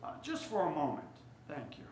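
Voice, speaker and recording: A man playing a halting, formal department store boss in a radio drama set in the 1940s. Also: on the high side, huge pitch swings. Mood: subdued. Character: department store boss, nervous but commanding gentleman. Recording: noisy, echoey live theater recording.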